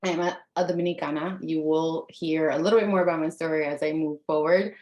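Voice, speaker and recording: A woman's voice: deep voice, fairly even intonation, a little fast, darkish tone, echoey room, muffled recording. A woman in her thirties, a native Spanish speaker, giving an earnest, conversational online workshop as a life coach, talking straight to her audience. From United States.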